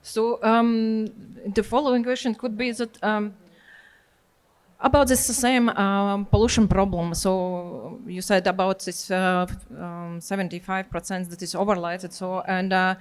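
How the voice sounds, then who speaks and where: A woman whose first language is Latvian interviewing a guest on stage in English, pausing often with fillers. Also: medium pitch, flat delivery, smooth. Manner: hesitant, many fillers, careful non-native phrasing. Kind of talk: conference interview questions.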